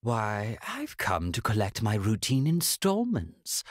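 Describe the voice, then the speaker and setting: An actor voicing a smug, menacingly polite financial official, speaking in formal, drawn-out theatrical phrases for a video game. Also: male voice, fairly low pitch, wildly swooping pitch, dry recording, studio quality, heavily acted. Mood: smugly polite.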